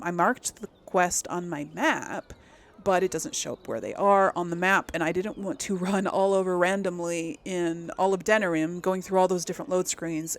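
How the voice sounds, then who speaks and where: A woman giving casual let's play commentary as host of a gaming video, chatty and thinking aloud as she goes. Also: on the low side.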